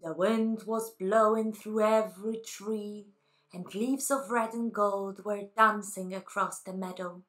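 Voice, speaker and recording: Slow-paced woman storyteller. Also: mid-range voice, steady tone. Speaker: puppet show storyteller. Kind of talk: slow storybook narration for young children.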